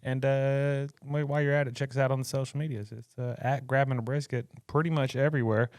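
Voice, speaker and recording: A man co-hosts a casual podcast at a brisk clip. His talk is folksy and informal, full of "y'all", and he is recorded close and dry. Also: mid-range voice, flat delivery, darkish tone, no room sound. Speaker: podcast co-host.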